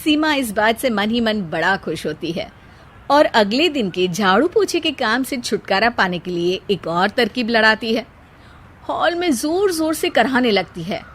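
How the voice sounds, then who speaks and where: A woman's voice: on the high side, sing-song, unhurried, fairly bright tone. A woman narrating a scripted moral story as a storyteller.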